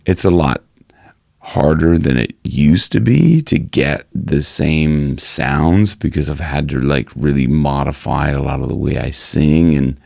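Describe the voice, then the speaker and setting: A man with an extremely low, gravelly voice talks through a health update in a loose, rambling way, full of restarts. His voice is hoarse. The sound is dry and close. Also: unhurried, darkish tone, no echo. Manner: rambling, many restarts and fillers. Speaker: singing coach and vlogger. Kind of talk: casual health update vlog.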